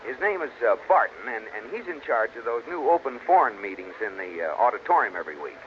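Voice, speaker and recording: A man playing an animated, affable sitcom husband. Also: mid-range voice, fast, lightly acted. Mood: friendly, hesitant. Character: affable, anxious husband, easily exasperated, middle-class. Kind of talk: 1940s radio sitcom acting. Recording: muffled, hissy old radio broadcast.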